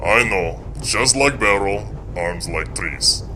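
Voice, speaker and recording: An actor playing a huge, gentle, literal-minded crewman with simple, formal phrasing in an audio drama. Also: fairly low pitch, lively intonation, slow, a little hiss or hum, exaggerated voice. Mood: gentle, plain.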